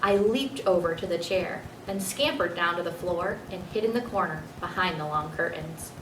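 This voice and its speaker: A woman's voice: fairly low pitch, normal speed, lightly acted. Woman reading as a clever storybook hamster, roomy sound. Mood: playful, self-assured. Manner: expressive children's storybook reading. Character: clever, self-satisfied pet hamster narrating his adventures. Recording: roomy, distant mic, faint noise.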